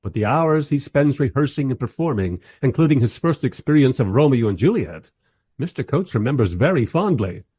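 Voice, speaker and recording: Male actor as weary filmmaker narrator, radio drama. Husky, wry, animated intonation, very dry recording. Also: medium pitch, moderate pace, dry recording. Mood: wry recounting. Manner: dry, sardonic storytelling. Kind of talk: radio play first-person narration.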